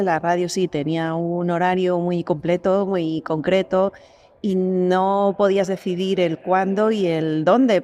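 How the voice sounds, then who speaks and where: A woman's voice: on the low side, a little mellow. A woman with an accent from Spain, a guest answering conversationally in a reflective, explanatory manner. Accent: Spanish from Spain. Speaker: voice actress, podcast guest.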